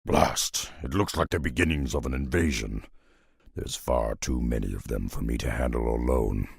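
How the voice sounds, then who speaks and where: An actor playing a gruff, grave wandering warrior in a video game, speaking in grim, solemn declarations with a gravelly, husky voice. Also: male voice, extremely low pitch, normal speed, no echo, studio quality, full character voice. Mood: alarmed. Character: gruff, grave male wandering warrior who loves beasts.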